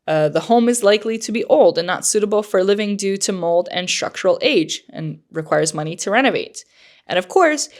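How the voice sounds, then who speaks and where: Woman, podcast co-host, slightly nasal, rambling casual delivery. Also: mid-range voice. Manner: chatty, lots of fillers and likes. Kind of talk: casual chat.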